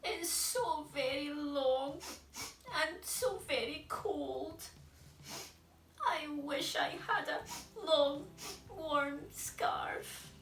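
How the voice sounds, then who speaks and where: A sorrowful storybook giraffe voiced by a woman, high and slow, pleading in a sniffly way. The sound is bright and echoey. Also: recorded from a distance, slight background noise, exaggerated voice. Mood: sad, plaintive. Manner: sniffly, plaintive. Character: sad, cold, long-necked giraffe in a storybook.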